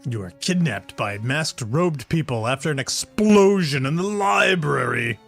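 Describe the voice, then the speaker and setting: A man's voice: fairly high pitch, lively intonation, unhurried, vocal fry. A man giving casual let's play commentary on an adventure game, with game music behind him.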